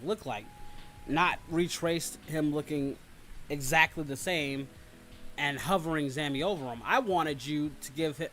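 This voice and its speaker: Man, livestream host, slightly high voice, rambling, repetitive, teasing, casual chat, faint background music. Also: a bit bright. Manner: rambling, repeats phrases, teasing complaints. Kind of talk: casual livestream chat, reading and answering viewer comments.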